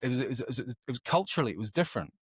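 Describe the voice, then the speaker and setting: A man's voice: medium pitch, big pitch swings, very fast, husky, dry recording, studio quality. A man speaking as a podcast guest in conversation, emphatic and opinionated, often repeating words as he thinks. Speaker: podcast guest, author and creativity educator.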